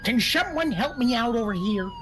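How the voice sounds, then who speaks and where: A man playing an excitable, bumbling Southern sheriff who whoops, sputters and repeats himself in comic game roleplay. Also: very high voice, moderate pace. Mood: exasperated. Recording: sirens and vehicle noise behind. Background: police sirens, game vehicle noise.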